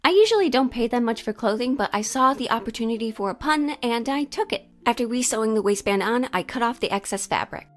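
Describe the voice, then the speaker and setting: A woman's voice: fairly high pitch, animated, fast, hardly any pauses. A woman hosting a sewing vlog in a chatty, jokey, self-deprecating way, moving between casual asides and scripted narration. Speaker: sewing vlogger and host.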